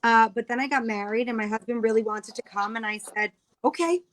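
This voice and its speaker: A woman in her thirties from New York with an American accent speaks in a fairly high voice. She is an interview guest who chats casually and explains things in careful detail. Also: a little fast, a bit bright. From New York, United States. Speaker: guest, cookbook author.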